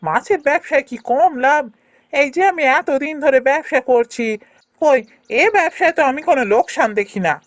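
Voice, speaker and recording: A woman's voice: high voice, normal speed, dry recording, lightly acted. A woman voicing a stubborn, argumentative younger brother, a village trader, in a cartoon. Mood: indignant. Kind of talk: cartoon voice acting.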